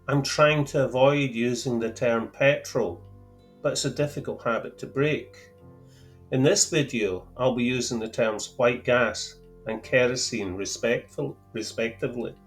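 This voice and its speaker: A man with a Scottish accent explaining fuel terms to camera in a careful, deliberate way, with a lightly wry touch. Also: mid-range voice. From Scotland. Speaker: outdoor gear channel host. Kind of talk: measured explanatory talk to camera.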